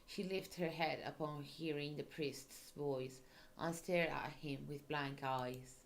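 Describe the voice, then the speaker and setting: Slow-reading woman with a Spanish accent. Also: low voice, steady tone, slight background noise, rough recording. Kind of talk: reading visual novel narration aloud.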